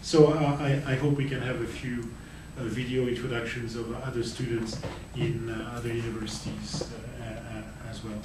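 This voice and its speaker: A man's voice: medium pitch, level tone, normal speed, few pauses. Male lecturer in an echoey hall. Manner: hesitant, repeats words. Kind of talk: university lecture. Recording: echoey hall, distant mic, loud noise.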